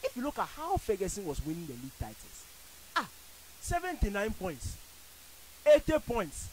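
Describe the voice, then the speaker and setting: Ghanaian guy on a radio sports panel, high voice swinging all over, pushing his point hard, bit of hiss. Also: wildly swooping pitch, moderate pace, a little mellow, rough recording. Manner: emphatic, theatrical, jokey. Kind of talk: animated studio debate. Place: radio studio. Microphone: studio boom mic with headphones.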